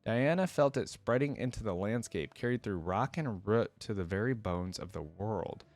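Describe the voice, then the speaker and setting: A man's voice: lowish voice, lively intonation, moderate pace, a little mellow. A man hosting a reaction, moving between casual, conversational commentary and reading a story aloud. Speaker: reaction host and reader.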